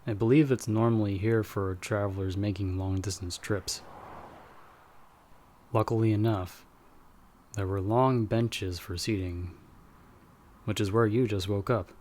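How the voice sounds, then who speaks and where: A man playing a dry, guarded but protective vampire hunter in an ASMR audio roleplay, speaking in a measured, wry way. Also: on the low side, steady tone, a little mellow, slight background noise, lightly acted. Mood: calm, explanatory. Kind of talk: ASMR audio roleplay, scripted monologue to listener.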